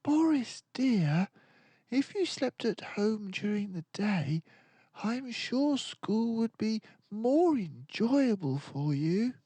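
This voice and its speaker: Man putting on a high, prim lady badger teacher. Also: very animated, slow, mellow, breathy edge, no echo, full character voice. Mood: patient, coaxing. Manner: patient, coaxing. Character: polite, prim female badger schoolteacher.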